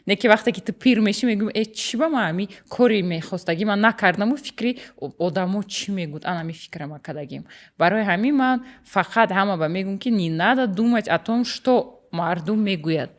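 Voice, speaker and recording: A young woman from Tajikistan talks as an interview guest. She speaks in a mid-pitched voice, plainly and outspokenly. She switches between languages mid-sentence. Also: animated, fairly quick. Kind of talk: casual interview chat.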